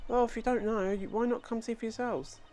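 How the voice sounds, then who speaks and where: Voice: mid-range voice, fairly even intonation, brisk, darkish tone, pitched above the performer's own voice, lightly acted. A performer voicing a smug townsperson in a puzzle game, theatrical and mocking. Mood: amused scorn.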